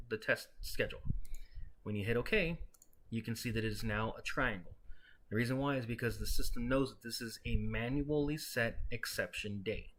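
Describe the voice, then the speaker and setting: A man with a medium-pitched voice walks through a piece of software as a tutorial presenter. He explains each step in a conversational, instructional manner, speaking directly and casually to the person following along. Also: a little hiss or hum.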